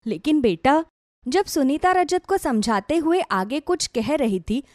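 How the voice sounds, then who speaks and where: A woman storyteller with a high, very lively voice, reading a scripted dramatic tale. The sound is dry and close, with pitch that moves freely through each sentence. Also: unhurried, dry recording. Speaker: storyteller narrator.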